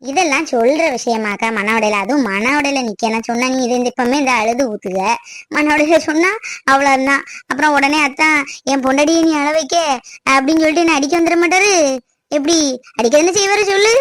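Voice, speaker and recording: Woman acting a breezy, high-voiced younger sister. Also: brisk, fairly bright tone, no room sound. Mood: cheery, coaxing. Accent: southern Tamil Nadu. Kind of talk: acted family drama.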